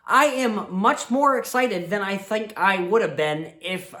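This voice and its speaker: A man's voice: sits high, a little slow, fairly bright tone. A man hosting a casual movie review show, sick, sniffling and with his voice fading, talking in a rambling, heartfelt way. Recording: roomy echo.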